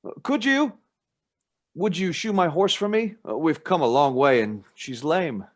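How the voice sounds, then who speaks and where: A man's voice: a little high, expressive, measured pace. An actor playing a curious, polite, slightly flustered young man in a radio play.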